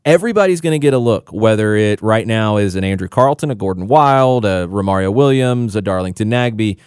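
Low, smooth-voiced man breaking down soccer. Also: a little fast, a little mellow, no echo, clean studio sound. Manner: confident, explanatory. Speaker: soccer broadcaster and guest analyst. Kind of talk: radio sports talk.

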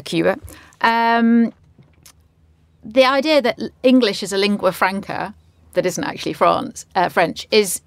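A woman who trains journalists, speaking in a radio discussion with animated, emphatic repeats. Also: medium pitch, gravelly, no echo.

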